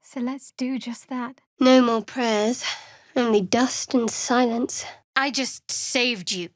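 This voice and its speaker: A young female cleric sworn to a dark goddess, voiced for a video game. Her voice sits a little high for a woman. She is guarded and sardonic, with dry, wry phrasing, recorded close with no room sound at all. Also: steady tone, slow, dry recording. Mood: soft, companionable. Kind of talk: video game character dialogue. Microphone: close studio microphone, dry.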